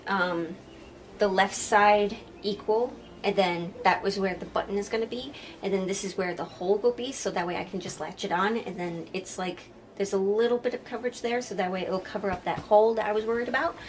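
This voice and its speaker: A woman's voice: medium pitch, normal speed, slight background noise. A woman hosting a sewing vlog in a chatty, jokey, self-deprecating way, moving between casual asides and scripted narration. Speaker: sewing vlogger and host.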